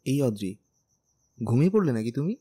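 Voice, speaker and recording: A man's voice: medium pitch, sing-song, a little fast, warm tone, slightly rough. A young man playing a caring, playful husband who coaxes and teases in a romantic audio story. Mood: playful, insistent. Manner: teasing, coaxing.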